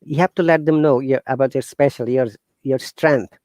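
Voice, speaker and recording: Bangladeshi-accented man, earnest and halting. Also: medium pitch, dry recording, clean studio sound. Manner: earnest, animated, halting with fillers and restarts. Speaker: guest teacher. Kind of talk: casual interview talk.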